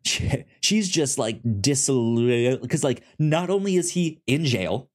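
A man co-hosting a podcast in casual chat, recapping a story in a halting way, often repeating words and syllables. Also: medium pitch, expressive. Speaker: podcast co-host.

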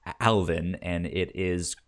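A man's voice: sits low, fairly even intonation, moderate pace. A man co-hosting a podcast in casual film discussion, stammering and often repeating sounds and words. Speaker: podcast co-host.

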